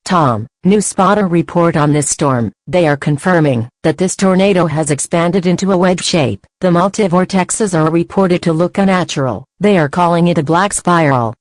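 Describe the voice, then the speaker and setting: A woman's voice: fairly low pitch, moderate pace, hardly any pauses, no echo, natural voice. A woman playing a serious TV weather reporter, giving scripted storm updates in a grave, matter-of-fact way.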